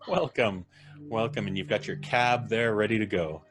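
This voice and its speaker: A man's voice: fairly low pitch, normal speed. A man hosting a live podcast stream, introducing his guests with jokes and a rambling, playful manner. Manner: jokey, rambling, laughs at his own quips. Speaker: podcast host. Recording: music playing in the background.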